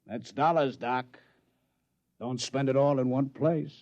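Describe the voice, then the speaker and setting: An actor playing a tough, blunt gangster who runs a casino on Mars, in 1950s radio drama style. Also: male voice, medium pitch, fairly even intonation, a little slow, lo-fi sound, over loud music, natural voice. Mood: delighted. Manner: tough, blunt, streetwise talk. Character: brash gangster casino owner on Mars, threatening. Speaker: gambling club boss.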